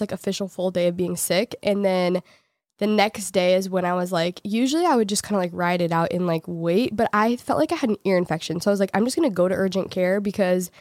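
A woman's voice: medium pitch, fairly quick, dry recording, studio quality. A woman co-hosting a podcast, chatting casually and telling a story, sounding nasal from a cold. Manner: chatty storyteller, laughs often, teasing. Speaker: podcast co-host. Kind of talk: casual chat.

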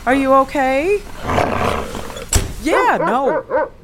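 An actress playing a patient grown daughter with casual, dry humor in an audio drama. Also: female voice, high voice, expressive, drawn-out words, heavily acted. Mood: coaxing, nervous. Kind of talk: naturalistic audio drama acting. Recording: clear background noise, car scene.

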